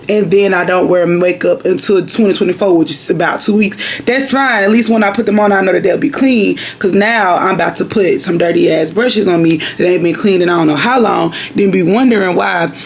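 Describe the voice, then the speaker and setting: A woman talks casually to her viewers from her vanity at home, her voice raspy with plenty of gravelly fry. She rambles and circles back, chatting straight to the camera. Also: medium pitch, a little fast, slight background noise. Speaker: vlogger.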